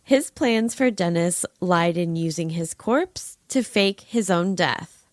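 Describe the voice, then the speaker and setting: A woman's voice: fairly low pitch, lively intonation, takes it slowly, no echo, studio quality. A woman hosting a podcast, telling a scripted story with wry, playful flourishes and casual chatty asides. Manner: wry, playful narration with old-fashioned flourishes. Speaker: true-crime podcast host.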